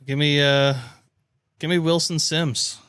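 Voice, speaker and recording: A man's voice: fairly high pitch, level tone, takes it slowly, fairly bright tone, clean tone, no echo, studio quality. A man co-hosting a sports podcast, chatting casually and teasing the others. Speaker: podcast co-host.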